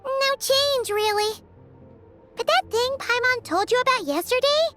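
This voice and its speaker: Paimon, a squeaky little fairy. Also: female voice, a little slow. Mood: wistful. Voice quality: high squeaky childlike voice. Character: tiny floating female fairy companion, chirpy. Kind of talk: game voice acting. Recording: music underneath.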